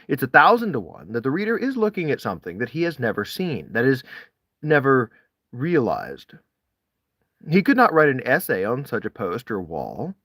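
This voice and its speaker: A man narrates literary prose in a medium-pitched voice. His intonation swings widely, with deliberate, dramatic phrasing. The sound is close and dry, as in an audiobook-style reading. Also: huge pitch swings, fairly bright tone, no echo. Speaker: audiobook-style reader of classic essays. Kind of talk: literary read-aloud, expressive scripted narration.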